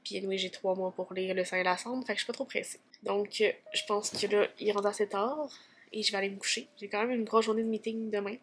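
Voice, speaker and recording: A woman's voice: medium pitch, fairly even intonation, fast talker, a little hiss or hum. A woman in her twenties with a Québécois French accent, chatting casually in a vlog diary while stuffy from a cold, full of fillers. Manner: chatty, many fillers like "fait que" and "tu sais". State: congested from a cold. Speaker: vlogger.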